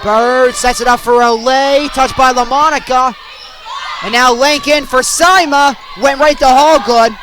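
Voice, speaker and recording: A young man calling live sports play-by-play in short, punchy, excitable phrases. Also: very high voice, a little slow, crowd noise, noisy background. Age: young adult. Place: at sports venues, live during games.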